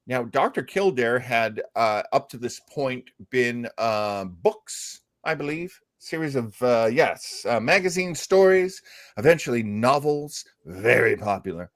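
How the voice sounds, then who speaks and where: A middle-aged or older American man casually hosting a discussion, measured and halting, often pausing mid-sentence. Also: mid-range voice, lively intonation, no room sound, studio quality. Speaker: host of an old-time radio discussion show.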